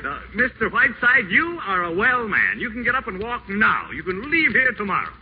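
An actor playing an eager, bumbling small-town doctor in a 1940s radio play. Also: male voice, high-pitched, huge pitch swings, moderate pace. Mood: delighted. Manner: chuckling, fussy, eager. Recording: dull old radio broadcast, faint hiss.

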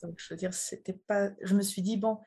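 Husky-voiced middle-aged woman, hesitant. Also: mid-range voice, fairly even intonation, fairly quick, mellow. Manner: thoughtful, hesitant, many fillers. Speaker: interviewee, mother. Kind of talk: casual interview.

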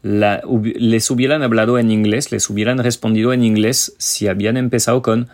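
A man's voice: fairly low pitch, fairly even intonation, a little fast, a little mellow. A man from France, a native French speaker with a French accent, giving informal advice straight to listeners as a language teacher and podcast host. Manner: informal, explanatory, addresses listeners directly.

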